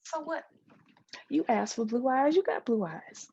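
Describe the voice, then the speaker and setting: A dismissive, teasing young friend, played by a woman reading aloud answers curtly in a very husky, creaky voice. The sound is roomy and a little dull, and the scolding is blunt. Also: high-pitched, lively intonation, measured pace, darkish tone, voice pushed up, heavily acted. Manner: curt, scolding replies. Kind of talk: dramatic literary read-aloud.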